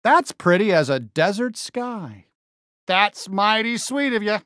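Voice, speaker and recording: A man's voice: high-pitched, sing-song, slow, bright, forceful tone, dry recording, clean studio sound, full character voice. A man voicing a friendly, folksy American toy cowboy sheriff in a video game, eager and encouraging with a cowboy drawl. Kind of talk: video game character voice lines.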